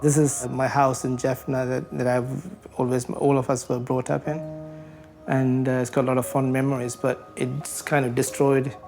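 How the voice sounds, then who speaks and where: Hesitant Sri Lankan man, gravelly flat voice. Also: medium pitch, normal speed. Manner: hesitant, many ums and uhs. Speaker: interviewee, community advocate. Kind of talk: recorded interview, reflective. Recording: background music under voice.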